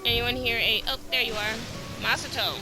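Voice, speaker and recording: A woman's voice: a little high, moderate pace, rough recording. A woman giving casual, playful, teasing commentary as she plays through a video game. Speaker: gaming video host. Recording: game music behind the commentary.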